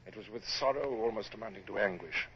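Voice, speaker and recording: A man's voice: fairly low pitch, fast, natural voice. A commanding British naval captain , acted by a man in an old radio play. He speaks formally and with clipped authority, yet with humane gravity. The recording is dull, with faint noise. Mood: sorrowful. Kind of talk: radio drama acting and first-person narration.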